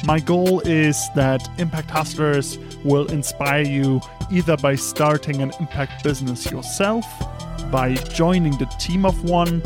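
A man's voice: a little high, measured pace. Earnest male podcast host over music. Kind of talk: scripted ad read and interview intro.

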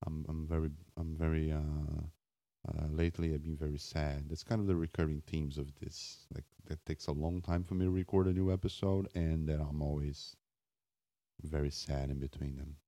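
A man hosting a solo podcast off the cuff in a raspy, just-woken voice, halting and searching for words with wry self-deprecation. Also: a bass voice, steady tone, dark tone, no room sound. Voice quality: raspy morning voice. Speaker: podcast host.

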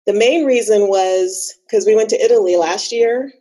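A woman's voice: medium pitch, moderate pace, mellow. A woman chatting casually as a guest on a podcast. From Bahamas. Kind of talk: casual chat.

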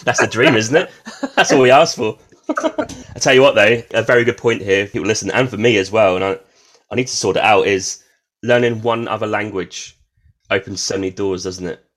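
A man with a fairly low voice hosts a podcast interview. He sounds relaxed, laughs easily and thinks aloud while framing his questions. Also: moderate pace. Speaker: podcast host. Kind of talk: casual interview.

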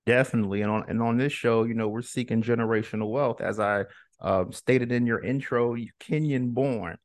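A man's voice: mid-range voice, steady tone, brisk, dry recording, clean studio sound. A man hosting a podcast interview in a casual, chatty, encouraging way. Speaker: podcast host.

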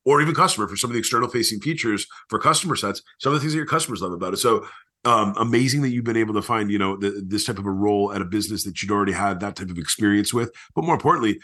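A man's voice: fairly low pitch, rapid-fire, pushed and bright. A man hosting a podcast in casual interview chat, talking in an effusive, informal way. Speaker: podcast host.

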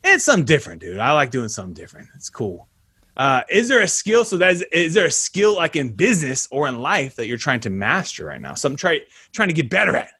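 A man hosting a casual podcast interview over a video call, energetic and slangy, punching words with sudden loud bursts. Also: fairly high pitch, quick pace, slightly rough. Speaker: podcast host.

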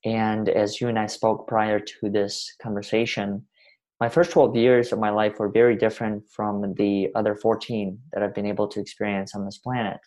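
A man's voice: lowish voice, flat delivery, moderate pace, a little mellow, rough recording. A man in his twenties, originally from Russia, talking earnestly in long, winding sentences as a podcast guest. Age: 20s. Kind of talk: reflective interview talk.

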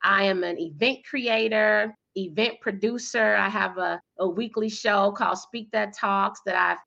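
A woman in her thirties or forties, guest in an interview, talking energetically and emphatically with a loud, powerful voice and frequent laughter. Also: medium pitch, fairly even intonation, unhurried, vocal fry, dull audio. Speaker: guest, PR firm founder and speaker.

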